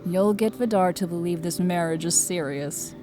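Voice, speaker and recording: A woman's voice: on the low side, moderate pace, music in the background, close to an everyday voice. A woman playing a proud but wounded, vulnerable goddess queen, speaking earnestly and emotionally in an audio drama. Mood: earnest, grateful.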